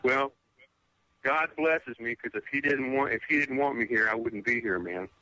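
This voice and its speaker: A man's voice: medium pitch, normal speed, bright, forceful tone, dry recording, low-quality recording. A man in his sixties calling in to a talk radio show, ranting emphatically and stammering over his words. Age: 60s. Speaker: caller. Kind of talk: phone-in talk radio call.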